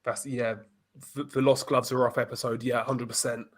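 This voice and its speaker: A man's voice: mid-range voice, flat delivery, moderate pace. A man with a cold and a bunged-up voice, a guest boxing YouTuber chatting on a live stream with dry humor. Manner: dry, self-deprecating humor. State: congested with a cold. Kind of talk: casual live-stream chat.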